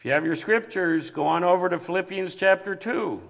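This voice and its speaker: A man preaching a sermon with a big voice that grows animated at times. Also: sits high, fairly quick, vocal fry, in a large hall. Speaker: pastor.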